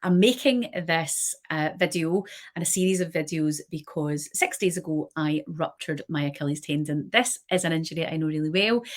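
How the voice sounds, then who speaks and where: A woman in her forties speaks straight to camera in a personal video diary. Her voice sits low for a woman. She talks in a chatty, loose way, full of fillers and restarts. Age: 40s. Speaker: podiatrist and clinic director.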